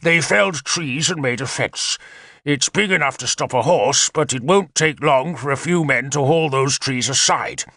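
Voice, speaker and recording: A man's voice: medium pitch, normal speed, sharp tone, dry recording, studio quality, pitched above the performer's own voice. A man voicing a confident male war leader who eagerly lays out battle plans, in a decisive, commanding manner. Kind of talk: audiobook character reading.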